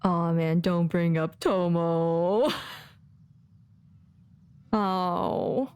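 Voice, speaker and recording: Laid-back, slow-paced woman game streamer. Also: on the low side, steady tone, darkish tone, smooth, no room sound. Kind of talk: casual live game commentary.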